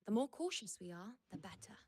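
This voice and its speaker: A woman's voice: mid-range voice, big pitch swings, brisk, darkish tone, slightly rough. A woman voicing a confident, shrewd and playful huntress in a video game, with a teasing, self-assured delivery. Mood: shrewd, focused. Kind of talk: scripted video game voice acting.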